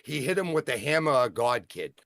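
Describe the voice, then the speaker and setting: A man's voice: mid-range voice, a little fast, dry recording. Boston guy, wrestling show co-host, rambles and restarts, dry close sound. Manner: rambling, self-interrupting, excitable. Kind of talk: casual wrestling review chat.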